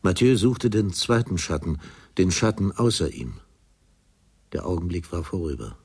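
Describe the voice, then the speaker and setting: A man narrating a literary radio drama in a husky, measured, grave manner. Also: sing-song, a little mellow. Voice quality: deep, husky.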